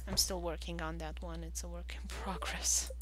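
A woman's voice: on the low side, little pitch movement, normal speed, background noise. A woman giving casual game walkthrough commentary, thinking aloud in a hesitant way. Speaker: gaming video host.

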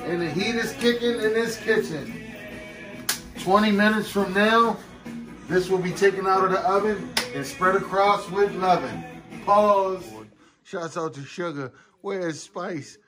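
A man's voice: sits high, measured pace. A man co-hosting a home cooking video, playful and hyped, throwing out catchphrases and jokes. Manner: playful hype, shouts catchphrases. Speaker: cooking show co-host. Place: home kitchen, indoors. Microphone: far camera. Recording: echoey room from far off with music.

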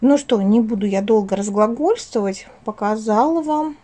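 A woman's voice: a little high, moderate pace, warm tone. A woman talking casually as a craft vlogger at home, rambling and interrupting herself in an affectionate way. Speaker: craft vlogger, channel host. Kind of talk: casual chatty craft vlog. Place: at home, indoors.

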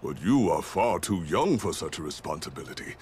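An actor playing a stern, grave older knight in a video game, speaking in a formal, measured way. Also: male voice, low-pitched, sing-song, darkish tone, a little hiss or hum. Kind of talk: dubbed game dialogue.

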